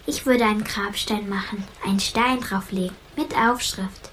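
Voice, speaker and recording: A woman's voice: medium pitch, fairly even intonation, moderate pace, a bit bright, lightly acted. A young female performer playing a defiant girl who answers in short, stubborn retorts in a naturalistic children's radio play. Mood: defiant, wistful. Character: young girl attached to her lost pet bird, defiant. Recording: clear background ambience noise.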